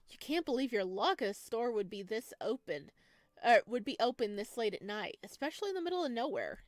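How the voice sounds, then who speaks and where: A woman reading the text of a horror visual novel aloud at an even pace, stopping for chatty asides and giggles. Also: a little high, no echo. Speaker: game streamer.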